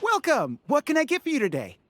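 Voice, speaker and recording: A performer voicing an obliging game food-stall vendor with a husky voice and friendly customer-service patter. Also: high voice, wildly swooping pitch, quick pace, dry recording, studio quality, voice pushed up. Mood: cheerful, obliging.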